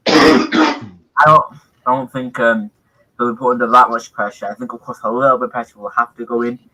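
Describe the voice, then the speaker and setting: A man's voice: medium pitch, fairly even intonation, normal speed, sharp tone, poor audio. A young man co-commentating on football in casual chat, hesitant and full of restarts. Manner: hesitant, repeats himself, many restarts.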